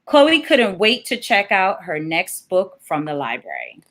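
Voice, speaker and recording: A woman's voice: medium pitch, big pitch swings, measured pace, fairly bright tone. A woman, a children's author, reading aloud and explaining things as an interview guest.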